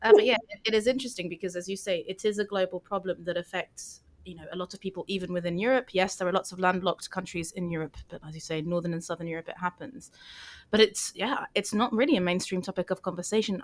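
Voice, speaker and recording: A woman hosting a podcast interview, speaking in a thoughtful, reflective way with hedging pauses. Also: on the low side. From Portugal. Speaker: podcast host. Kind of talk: interview conversation.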